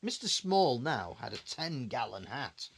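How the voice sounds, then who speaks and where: Animated dad telling a bedtime story. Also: male voice, medium pitch, unhurried, gravelly, slightly rough. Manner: conversational, with questioning asides and dramatic repetition. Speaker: father reading a bedtime story. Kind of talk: storytelling to a young child.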